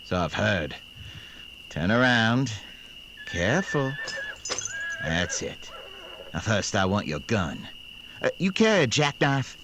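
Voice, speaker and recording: A man acts out a coolly commanding outlaw in a western radio play. His voice is lowish and very animated in pitch, yet slow, with clipped orders. Crickets and clear night noise surround him. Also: full character voice. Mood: menacing command. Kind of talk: western radio drama acting.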